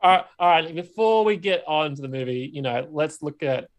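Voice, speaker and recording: A man co-hosts a podcast in loose, casual conversation. His voice sits a little higher than most men's, and he talks as if chatting with a friend. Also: lively intonation, a little fast. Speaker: podcast co-host. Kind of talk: casual chat.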